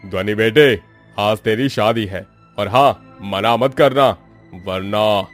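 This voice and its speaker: A man's voice: on the low side, slow pace, faint music behind. Man playing a bossy scheming village headman. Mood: sly menace. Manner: bossy, threatening. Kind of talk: Hindi horror cartoon acting.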